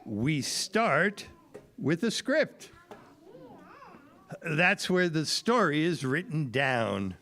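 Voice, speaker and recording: A man presenting a scripted audio theater show to a family audience, explaining things deliberately. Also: on the high side, very animated, sharp tone, a little hiss or hum. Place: live event at a public library.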